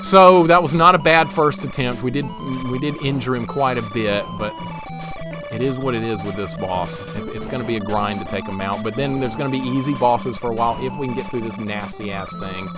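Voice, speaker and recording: A man's voice: medium pitch, low-quality recording. Chatty forty-something man streaming retro games. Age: 40s. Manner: chatty, self-deprecating, quick laughs, repeats words when excited. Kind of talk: casual live-stream chat while playing. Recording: game music playing underneath. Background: game music.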